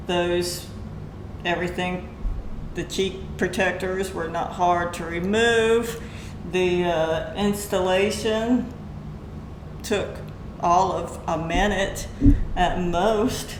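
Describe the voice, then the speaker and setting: A woman chats through a product demonstration at an unhurried pace, with a slightly low voice. Her manner is informal and folksy, with halting explanations. The room is echoey, with faint noise behind her. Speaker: product reviewer and vlogger. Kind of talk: casual product demo chat. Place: indoors at home. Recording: roomy echo, faint background noise.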